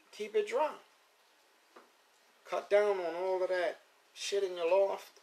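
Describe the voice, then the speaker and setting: Older man talking pigeons, emphatic and loose. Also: sits high. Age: 50s. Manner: loose, repetitive, emphatic street-casual talk. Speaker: pigeon fancier hosting a live stream. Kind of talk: casual live-stream talk. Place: at home near his pigeon loft.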